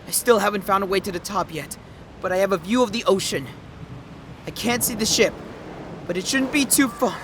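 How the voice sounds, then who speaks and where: A man's voice: high-pitched, fairly quick, long pauses, close to an everyday voice. A man playing a bewildered former police officer in an audio drama, speaking hesitantly and stammering. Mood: weary, reporting. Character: fugitive ex-police officer, bewildered man stranded in primeval world. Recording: noisy track with loud background ambience.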